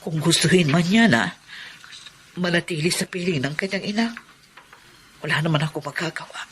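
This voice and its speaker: A caring adoptive mother played by a woman speaks warmly in a lowish voice. There is a faint hiss of room sound behind her in this radio play. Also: normal speed, natural voice. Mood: worried. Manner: warm and motherly. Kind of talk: Filipino radio drama acting.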